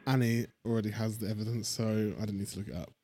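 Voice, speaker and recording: A man's voice: fairly low pitch, fairly even intonation, rapid-fire, loud music behind the voice. A man co-hosting a podcast in casual chat, dry and joking, often stopping to restart. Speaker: podcast co-host.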